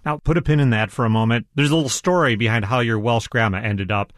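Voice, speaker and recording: A man's voice: mid-range voice, quick pace, dry recording, studio quality. A man hosting an interview, asking questions in a friendly, curious and self-deprecating way. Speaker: interview host. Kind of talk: conversational interview.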